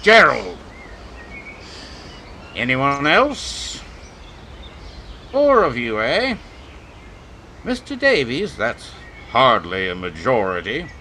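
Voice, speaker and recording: A man playing a cold, commanding major in a radio western, speaking formally and with measured authority. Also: medium pitch, huge pitch swings, pushed and bright, slight background noise, heavily acted. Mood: stern command. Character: cold, commanding major leading a lynch mob. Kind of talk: old-time radio drama acting.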